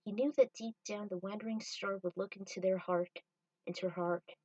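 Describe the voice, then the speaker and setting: A woman narrates a fan-written story as its storyteller, at a medium pitch. She sits slightly away from the microphone, with little room sound around her. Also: steady tone, moderate pace, mellow, no room sound, recorded from a distance, muffled recording.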